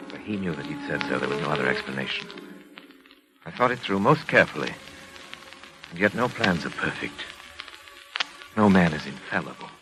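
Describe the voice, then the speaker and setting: An actor playing a cold, scheming middle-aged doctor in a 1940s radio drama, with formal, deliberate, theatrical delivery. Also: male voice, sits low, lightly acted, rough recording. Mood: grave, calculating. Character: cold scheming doctor and scientist, grave, murderous husband. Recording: old 1940s radio recording with music.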